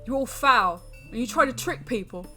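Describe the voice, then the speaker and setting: A woman voicing a frightened but defiant young male traveller with blunt, rough speech, in a dramatic visual novel reading. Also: fairly high pitch, expressive, normal speed, sharp tone, soft background music.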